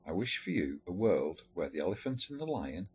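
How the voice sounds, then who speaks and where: A man reading a letter story aloud in a measured, expressive storytelling manner. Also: low-pitched. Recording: dull lo-fi recording with music behind. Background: background music.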